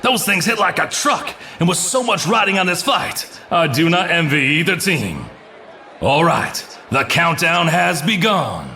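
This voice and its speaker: A man playing an excitable arena announcer in a cartoon, calling play-by-play sports commentary. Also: a little high, unhurried, slight background noise, full character voice. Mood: brisk, tense.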